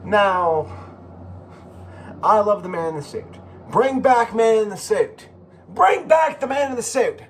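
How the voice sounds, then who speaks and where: A man with a fairly high voice hosts a casual video. His pitch moves around a lot, while the halting, rambling delivery stays very slow. He talks informally and swears freely. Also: lively intonation, slight background noise. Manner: profane, informal. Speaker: YouTube host.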